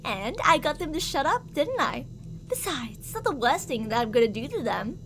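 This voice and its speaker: A woman voicing an obsessive, possessive young woman who holds her beloved captive, cooing sweetly with menace and snapping into shouts. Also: high voice, very animated, normal speed, a little hiss or hum. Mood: menacing sweet. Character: obsessive possessive female yandere captor. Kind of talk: audio roleplay drama.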